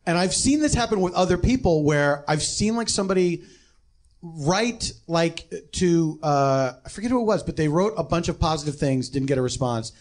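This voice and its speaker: Guy with a pretty high voice on a podcast panel, casual, explains stuff in a measured way. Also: expressive. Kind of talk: casual conversation.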